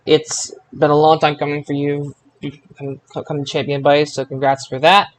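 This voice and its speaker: A man with a moderately high voice hosts a podcast. He talks casually about wrestling, as in an informal chat among co-hosts. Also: flat delivery, moderate pace, slight background noise, poor audio. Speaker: podcast host.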